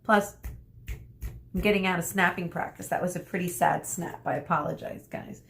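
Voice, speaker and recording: Woman, lower-pitched voice, teacher, children's read-aloud, explanatory delivery. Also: fairly even intonation, moderate pace, vocal fry, slight background noise. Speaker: elementary school teacher.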